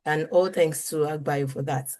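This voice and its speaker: A woman hosting an online interview, friendly, laughing lightly and reading audience questions quickly. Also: low-pitched, fairly even intonation, darkish tone. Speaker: host.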